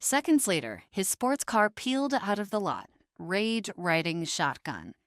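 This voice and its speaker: A woman's voice: medium pitch, big pitch swings, measured pace, no echo, clean studio sound. A woman narrating a scripted drama story in a dramatic style.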